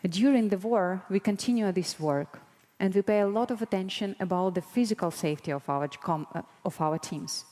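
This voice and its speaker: A woman in her thirties with a Ukrainian accent, giving an earnest, deliberate prepared presentation as a guest speaker. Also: medium pitch, dark tone. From Mariupol, Ukraine. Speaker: guest speaker, broadcast board member. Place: large conference hall, on stage. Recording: long hall reverb.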